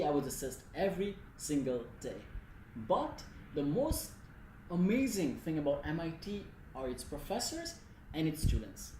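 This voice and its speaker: A man's voice: on the high side, warm tone. Young Nepali-accented man in an echoey room. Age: 20s. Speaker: student speaker. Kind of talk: prepared personal presentation. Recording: echoey room with faint noise.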